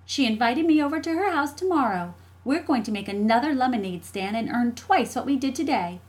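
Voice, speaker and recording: Female narrator as smug, defiant schoolgirl for a children's audiobook; high, childlike, emphatic. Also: lively intonation, brisk, natural voice. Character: feisty young schoolgirl, smug and defiant little sister. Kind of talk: audiobook character dialogue.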